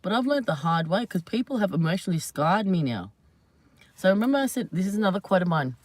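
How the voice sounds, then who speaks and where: A woman hosting her own channel, chatting casually and at length to her viewers, slightly hoarse, rambling and laughing often. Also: fairly low pitch, a little mellow, no echo. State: hoarse from screaming. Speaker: channel host. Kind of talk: casual live vlog chat to viewers.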